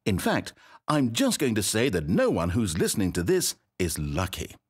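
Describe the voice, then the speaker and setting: A wry game narrator. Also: male voice, fairly low pitch, huge pitch swings, moderate pace, slightly rough, exaggerated voice. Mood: sardonic. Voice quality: dry, teasing delivery with sly sarcasm. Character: smug male game narrator, wry and mocking.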